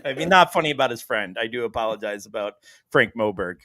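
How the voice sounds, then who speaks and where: A man's voice: mid-range voice, lively intonation, quick pace, pushed and bright, no room sound. A man hosting a casual sports podcast who jokes, laughs a lot and swears now and then. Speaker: podcast host.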